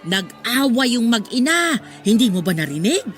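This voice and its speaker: A woman's voice: a little high, big pitch swings, moderate pace, faint music behind. An actress playing a gossipy, meddlesome Filipino neighborhood mother in a radio drama, chatty and prying. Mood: gossiping. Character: gossipy, meddlesome older neighborhood mother, kindly but nosy. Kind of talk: Tagalog radio drama acting.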